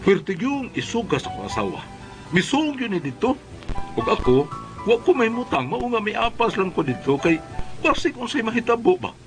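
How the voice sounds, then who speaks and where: A man playing an excitable, henpecked Cebuano father in a comedy skit, exasperated and pleading. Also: high-pitched, moderate pace, music in the background. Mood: confiding. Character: henpecked, excitable Cebuano father pacifying his fierce wife. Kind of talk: comedic first-person storytelling and skit acting.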